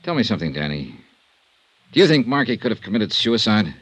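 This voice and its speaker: Shrewd radio detective, swooping intonation. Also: male voice, fairly low pitch, sing-song, fairly quick, slightly rough, no room sound. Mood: pressing. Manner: probing, wry, clipped questioning. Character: shrewd, probing male insurance investigator, coolly insistent. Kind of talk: vintage radio detective drama acting.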